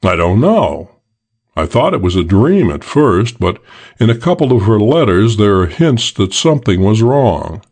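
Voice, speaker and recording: A man playing a careful, matter-of-fact private detective with a measured manner in an audiobook. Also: on the low side, big pitch swings, darkish tone, exaggerated voice. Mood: businesslike. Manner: businesslike, measured. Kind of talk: audiobook character acting.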